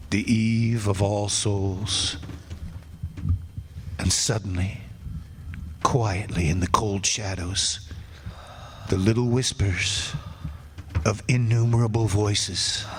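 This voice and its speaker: A man acting a condemned man who wanders among ghosts, narrating a radio drama in a slow, solemn way. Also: fairly low pitch, steady tone, slight background noise. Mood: haunted. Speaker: radio play actor. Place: stage performance.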